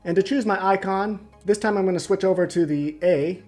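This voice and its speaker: A man's voice: high voice, fairly quick, a little mellow. Man narrating a tutorial over music. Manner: explanatory, methodical. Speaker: host of a software tutorial. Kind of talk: step-by-step screen tutorial narration. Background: background music.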